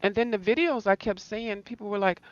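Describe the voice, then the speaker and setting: A woman's voice: mid-range voice, fairly quick, no room sound, highs cut off. A woman chatting casually on a call, rambling loosely and thinking aloud as she talks. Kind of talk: casual chat about art supplies.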